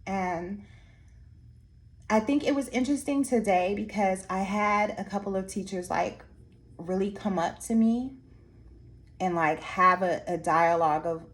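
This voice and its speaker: A woman who trains art teachers, losing her voice and sounding hoarse, talking slowly with many restarts. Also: mid-range voice. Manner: slow, halting, many restarts and fillers. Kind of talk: reflective casual monologue to viewers. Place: Anchorage area after workshops.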